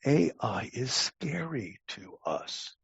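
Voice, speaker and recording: Gravelly older American man, halting and reflective. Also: mid-range voice, sing-song, fairly bright tone, no echo, dull audio. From Long Island, United States. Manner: halting, often repeats words as thoughts form. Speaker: podcast guest, talent acquisition advisor. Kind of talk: reflective conversational interview answers.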